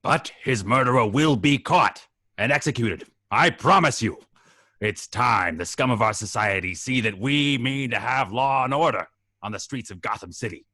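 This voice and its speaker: A man acting a gruff, insincere politician in a script table read. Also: medium pitch, expressive, moderate pace, pushed and bright, no room sound. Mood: solemn speechifying. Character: Rupert Thorne, gruff, insincere male politician.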